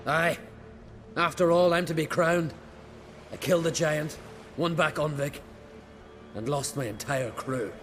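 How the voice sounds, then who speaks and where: An actor playing a bold young island warrior and heir in a video game, talking in clipped, hearty, folksy phrases. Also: male voice, a little high, unhurried, pauses often, lightly acted. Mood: rueful, earnest. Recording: game soundtrack music behind.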